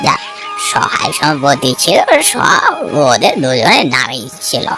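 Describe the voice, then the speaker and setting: A performer voicing an elderly bereaved man in a cartoon story, gentle, generous and fatherly, with a solemn, kindly delivery. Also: medium pitch, huge pitch swings, a little slow, music in the background. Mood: grieving.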